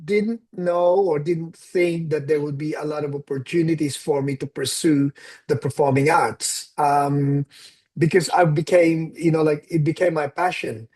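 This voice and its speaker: Casting: Filipino-accented man, late sixties, slightly higher voice, reflective interview guest. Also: fairly even intonation, unhurried, a little mellow. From Philippines. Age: 60s. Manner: reflective storytelling, mixes in Tagalog phrases. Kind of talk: casual interview conversation.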